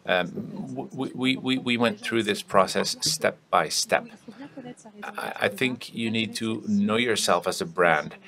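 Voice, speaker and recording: Man, very low voice, live conference interpreter, halting delivery, many fillers and self-corrections. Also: pushed and bright. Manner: halting, with frequent restarts and fillers. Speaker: simultaneous interpreter voicing panel speakers.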